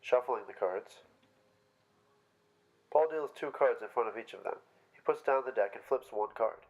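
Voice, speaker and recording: A man's voice: medium pitch, moderate pace, low-quality recording. A man reading stage directions aloud as scripted narration at a staged play reading.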